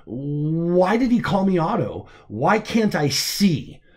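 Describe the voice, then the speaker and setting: A man's voice: high voice, sing-song, takes it slowly, pitched above the performer's own voice, full character voice. A man puts on an anxious young-hero pilot for a sci-fi audiobook. He sounds exasperated and confused, exclaiming with agitation like a captive out of his depth. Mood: distressed, confused. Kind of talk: dramatic audiobook character voice.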